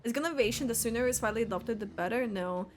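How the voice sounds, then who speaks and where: A woman giving casual reaction commentary on a video game, with halting phrasing. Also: on the high side, a little hiss or hum.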